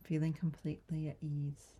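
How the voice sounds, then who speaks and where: A woman guiding a yoga nidra relaxation in a soft, breathy, soothing voice, gentle and unhurried with long pauses. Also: low voice, monotone. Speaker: yoga nidra meditation guide. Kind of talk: guided relaxation meditation.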